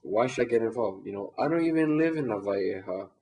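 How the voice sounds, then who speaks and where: A man from Maui, arguing his point in an animated, persuasive way, full of "you know". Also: lowish voice, brisk. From Maui, Hawaii. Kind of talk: casual interview talk.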